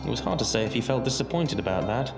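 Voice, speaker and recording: A man narrating an audio drama as a scripted story, theatrical and deliberate, with dramatic pauses. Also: mid-range voice, animated. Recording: roomy echo under music. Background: music.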